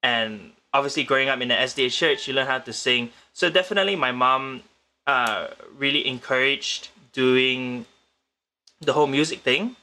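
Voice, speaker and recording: Bright-voiced young man from Malaysia. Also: mid-range voice. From Penang, Malaysia. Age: young adult. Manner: measured, thoughtful, with frequent restarts. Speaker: guest musician. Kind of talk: casual interview answers. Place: remote location in the Philippines.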